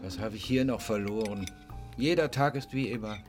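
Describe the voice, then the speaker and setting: A man's voice: on the low side, normal speed, music in the background, close to an everyday voice. An actor playing a lonely, grieving older father who tends bonsais, musing in sparse radio drama monologue. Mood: forlorn, musing.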